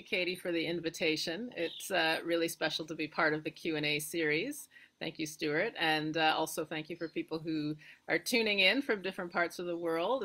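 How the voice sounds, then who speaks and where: A middle-aged Canadian woman speaks in a low voice. She is reflective and casual, pausing to find her words as she talks over a video call from an outdoor porch. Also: pushed and bright. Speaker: guest, retreat owner and filmmaker. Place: outdoor wooden porch by a garden.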